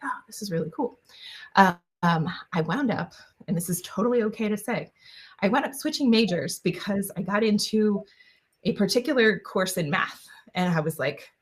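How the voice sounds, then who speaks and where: A woman's voice: mid-range voice, fairly bright tone. A woman guest on an interview podcast, answering in a chatty way with many fillers and restarts. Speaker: guest, engineer.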